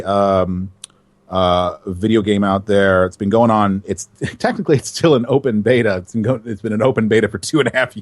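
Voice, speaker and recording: A man who works as a voice actor answers interview questions in a chatty, animated way and laughs easily. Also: lowish voice, dry recording. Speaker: voice actor, guest.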